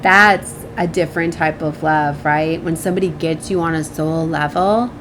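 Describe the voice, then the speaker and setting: A woman's voice: sits low, steady tone, normal speed. Chatty woman rambling over background noise. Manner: chatty, informal, often trailing off mid-thought; frequently seeks agreement with tag questions. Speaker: tarot reader and spiritual channel host. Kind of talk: casual, rambling spiritual tarot reading.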